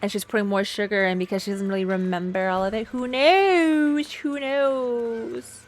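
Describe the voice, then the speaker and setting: A woman's voice: fairly high pitch, steady tone, moderate pace. A woman streamer giving casual, playful and wry reaction commentary on a game, close to the microphone. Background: faint game music.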